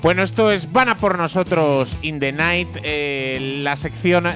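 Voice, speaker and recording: A man's voice: on the high side, measured pace. A man hosting a comedy radio show in a studio, teasing his guests. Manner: playful, teasing. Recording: radio studio with music bed.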